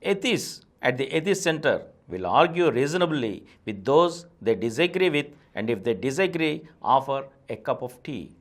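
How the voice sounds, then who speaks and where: A middle-aged man with an Indian English accent, steadily reading a nonfiction book aloud as the host of his channel, recorded on a lapel mic in a small studio. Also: mid-range voice. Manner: steady, deliberate reading, eyes on the page. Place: small studio with a painted backdrop and plants.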